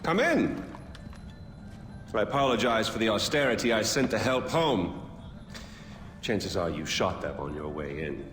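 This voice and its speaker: A flamboyant, mocking tyrant king in a video game, his low voice swooping with theatrical menace. He takes his time over every word. It rings in a long hall over loud music. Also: big pitch swings, a little slow, pauses often. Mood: menacing. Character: flamboyant smug male tyrant king, middle-aged. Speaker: game villain.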